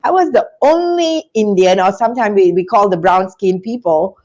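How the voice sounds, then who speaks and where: Middle-aged lady on a video call, lively and chatty, lapel mic in a small room. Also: mid-range voice, moderate pace, lo-fi sound. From Singapore. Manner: animated storytelling with hand gestures. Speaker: guest, business school founder. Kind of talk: casual video-call interview.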